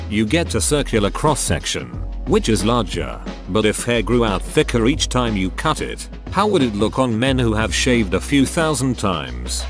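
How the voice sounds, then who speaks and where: A man narrating forum posts in a wry, scripted reading. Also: lowish voice. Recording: clear music bed underneath.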